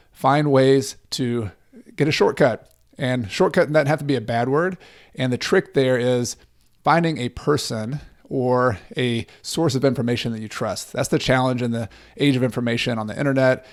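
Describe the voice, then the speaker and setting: Gravelly-voiced man hosting a podcast. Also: mid-range voice. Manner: earnest, encouraging. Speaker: podcast host and real estate coach. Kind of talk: podcast interview.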